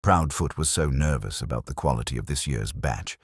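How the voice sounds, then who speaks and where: A man's voice: rumbling low, normal speed, husky, no echo, studio quality, exaggerated voice. A man voicing gossiping small-town pub regulars who trade rumours in a knowing, chattering way. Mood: gossipy.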